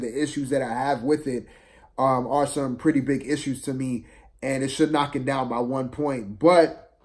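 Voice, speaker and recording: A man's voice: medium pitch, a little mellow. A man giving a casual, unscripted film review to viewers, rambling and hesitant, often repeating himself.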